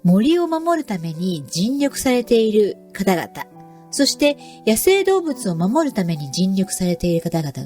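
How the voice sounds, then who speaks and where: A woman's voice: medium pitch, darkish tone. A woman hosting a nature program, speaking politely and explaining as she introduces segments. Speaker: host. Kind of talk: radio-style narration.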